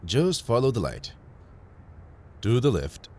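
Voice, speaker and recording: A man playing a calm, caring field medic who reassures a patient in an intimate audio roleplay. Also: mid-range voice, slow, a little hiss or hum. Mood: calm, focused. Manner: gentle, reassuring, matter-of-fact, addressing a patient directly.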